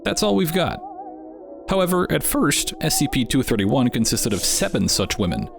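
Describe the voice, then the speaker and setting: A man's voice: mid-range voice, creaky. A man hosting and narrating a video in a wry, conversational way. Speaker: host. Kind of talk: scripted narration. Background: music.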